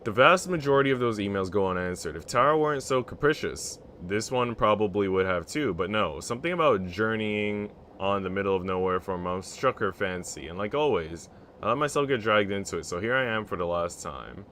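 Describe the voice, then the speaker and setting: A man's voice: lowish voice, lively intonation, fairly quick, fairly bright tone, slight background noise. A man livestreaming, mixing slangy, rambling casual chat and swearing with reading a visual novel aloud. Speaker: game streamer.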